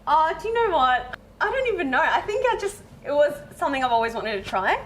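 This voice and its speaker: A woman's voice: high voice, sing-song, quick pace, a bit bright, a little hiss or hum. A woman in her twenties, raised in Israel, chatting as a guest and laughing often. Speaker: guest, reality show runner-up. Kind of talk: casual interview chat.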